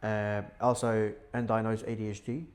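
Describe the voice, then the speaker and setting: A young man who grew up in Syria, a podcast guest telling his life story in a relaxed, chatty way with frequent fillers. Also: fairly low pitch, normal speed. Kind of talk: casual podcast interview. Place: indoor podcast setup.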